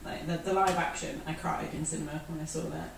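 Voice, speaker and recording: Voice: mid-range voice, flat delivery, quick pace, vocal fry. A person chatting about films, hesitating and searching for words. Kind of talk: casual film chat. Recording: echoey room, distant mic, audible hiss.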